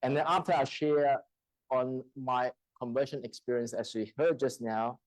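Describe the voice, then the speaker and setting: Man from china telling his church story, halting, chuckles a lot, dry sound. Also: medium pitch, steady tone, no room sound, rough recording. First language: Chinese dialect. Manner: halting, storytelling, laughs often. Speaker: church speaker. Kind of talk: testimony sermon. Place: church hall.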